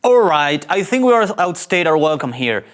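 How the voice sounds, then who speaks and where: A man's voice: fairly high pitch, very animated, moderate pace, clear, resonant tone. A man at a table read playing an anxious, self-dramatizing young starship captain, hesitant and fretful. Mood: tense.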